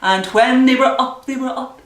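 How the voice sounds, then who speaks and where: A woman's voice: high voice, normal speed. A woman leading a nursery rhyme singalong for small children, giving simple instructions and encouragement. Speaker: library story time leader. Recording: echoey room, distant microphone.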